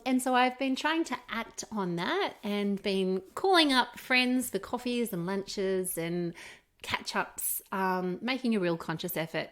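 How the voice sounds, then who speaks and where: A middle-aged woman with an Australian accent chatting casually as a podcast co-host, candid and emphatic, with an encouraging way of talking. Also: mid-range voice. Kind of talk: casual conversational podcast chat.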